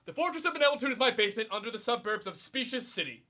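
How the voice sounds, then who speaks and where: Casting: male actor as grandiose small-time superhero for radio comedy, animated, forceful tone, flustered under pressure. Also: very high-pitched, very fast, exaggerated voice. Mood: defensive, wounded. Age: young adult. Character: pompous, needy, suburban amateur male superhero.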